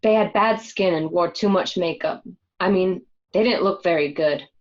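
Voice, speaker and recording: A woman's voice: lowish voice, moderate pace, lightly acted. A woman acting a candid, uneasy young gangster's wife in a radio play. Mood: frank, disdainful. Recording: slightly dull home recording.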